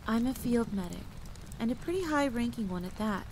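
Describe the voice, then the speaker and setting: A woman acts out a kind, motherly female field medic in an audio drama. She speaks gently and encouragingly, lifting her pitch as if soothing a child, with some creak in the voice. Steady rain sounds behind her. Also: brisk, a little hiss or hum, low-quality recording. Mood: reassuring. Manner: gentle, reassuring, sweetly encouraging. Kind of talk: acted audio drama storytelling.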